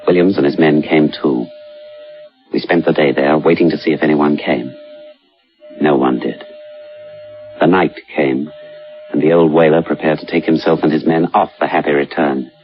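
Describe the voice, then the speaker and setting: Low-voiced, sober British storyteller. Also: male voice, fairly even intonation, moderate pace, pauses often. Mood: grave, uneasy. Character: sober, uneasy male narrator of sea horror tale. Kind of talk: dramatised first-person radio narration and dialogue. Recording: dull radio recording, faint music.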